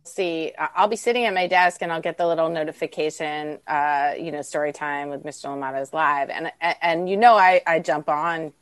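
Woman podcast host with a lowish voice. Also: steady tone, a little fast, hardly any pauses, smooth, dry recording. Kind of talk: conversational interview.

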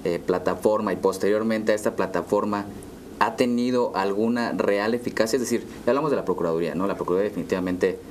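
Mexican man, thirties, co-host interviewer. Low pitch, conversational, frequent tag questions, faint noise behind. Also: fast talker, darkish tone. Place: dark TV studio, round table. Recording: clear background room noise.

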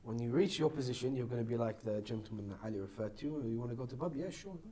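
Mellow, husky man, insistent in discussion. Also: medium pitch, lively intonation, racing. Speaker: panel discussion participant. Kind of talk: casual round-table discussion. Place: indoor restaurant-style set, seated at table.

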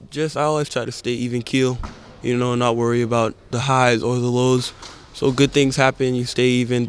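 A young man from the Bahamas talks through his basketball journey in a composed, even-keeled way. His voice is smooth and clean, and his pitch stays flat. He is picked up close on a reporter's handheld mic. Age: teens. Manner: calm, even-tempered answers. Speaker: basketball prospect. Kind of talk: post-game press interview. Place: indoor camp media backdrop. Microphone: handheld reporter mic held close.

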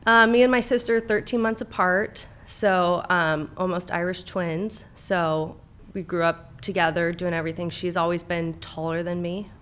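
Guest, young woman from Southern California, slightly low voice, chatty and open with lots of "um" and "like". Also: level tone, moderate pace, a bit bright, clean tone. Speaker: clinical director, therapist, guest. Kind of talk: casual interview, personal story. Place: studio with brick wall. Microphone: studio mic on boom arm, headphones.

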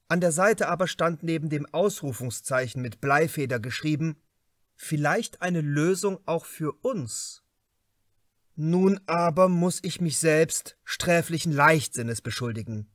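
A man reading a crime novel as an audiobook narrator, in a measured, expressive and carefully articulated way. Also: a little high, no echo, studio quality.

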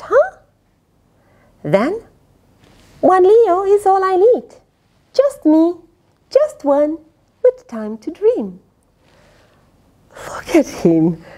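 A middle-aged woman reading a picture book aloud to children in an expressive, dramatic way, with playful asides. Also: very high voice. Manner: expressive, dramatic, with gasps, sighs and questions to the listener. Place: indoors, small fabric tent with cushions. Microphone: lapel.

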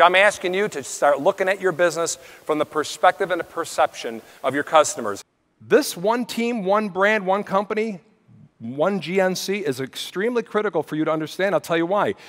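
A middle-aged American man speaks as a keynote presenter, his voice pitched high for a man and swinging widely up and down. He is emphatic and theatrical, repeating short phrases for punch. The sound rings with the long reverb of a big hall's speaker system. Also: fairly bright tone. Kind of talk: motivational business keynote speech to an audience. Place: on stage in large conference halls.

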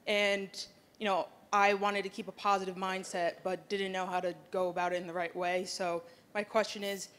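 A young American woman speaks in a medium-pitched voice. She is a college athlete putting a question to a speaker from the audience of an auditorium. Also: flat delivery, normal speed, fairly bright tone. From United States. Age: young adult. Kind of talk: asking a question at an event. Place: audience seats in a conference auditorium.

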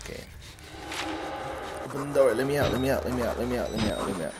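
Foul-mouthed young male gamer, animated. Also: medium pitch, fast talker, over loud music. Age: young adult. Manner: foul-mouthed, muttering repeated phrases to himself. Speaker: gamer recording a speedrun. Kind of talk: casual gameplay commentary, slangy. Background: loud game soundtrack.

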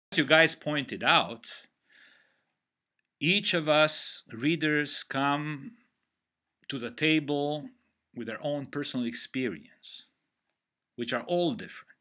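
An older man from Romania with a Romanian accent, giving an online literature lecture over a headset on a video call from home, in a measured, deliberate way. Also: mid-range voice, bright, forceful tone. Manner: measured, deliberate explaining with pauses. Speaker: literature teacher. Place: home room.

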